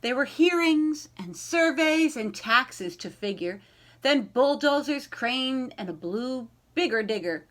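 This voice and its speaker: Woman, fairly high voice, children's storytime host, expressive delivery, dramatic pauses, encouraging manner. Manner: dramatic pauses, stretched key words, encouraging. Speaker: library read-aloud host. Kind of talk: expressive children's storybook read-aloud, then chat to young listeners.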